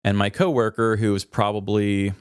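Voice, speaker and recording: A man with a lowish voice co-hosts a casual podcast. He chats informally, telling anecdotes and reading out messages from listeners. The sound is close and dry, with very little room in it. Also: level tone, normal speed, clean tone, no room sound. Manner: informal, chatty storytelling. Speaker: podcast co-host.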